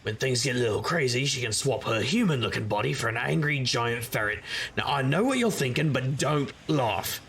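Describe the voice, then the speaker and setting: An actor playing a wry, sardonic supernatural private investigator who narrates in conversational noir style. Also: male voice, mid-range voice, lively intonation, moderate pace, gravelly, fairly bright tone, slight background noise. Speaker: narrator and lead character. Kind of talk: noir first-person scripted narration.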